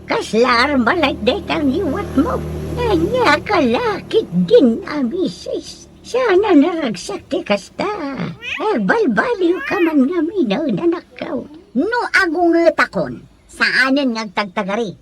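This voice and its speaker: A woman playing a nagging, quick-tempered Ilocano wife in a comedy radio drama, theatrical and whining, with an Ilocano accent. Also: high-pitched, heavily acted. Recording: clearly noisy recording.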